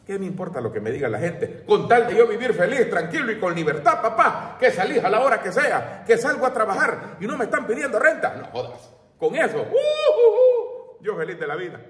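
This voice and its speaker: A man's voice: sits high, huge pitch swings, brisk, fairly bright tone. A middle-aged Salvadoran man giving a brash, slangy, emphatic opinion rant in an informal style. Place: small home room with shelves, indoors. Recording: roomy echo, distant mic.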